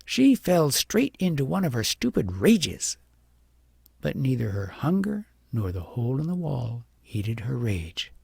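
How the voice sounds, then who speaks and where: Male audiobook narrator, heavy creak, raspy, animated intonation, deliberate storybook delivery. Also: mid-range voice, dry recording, studio quality.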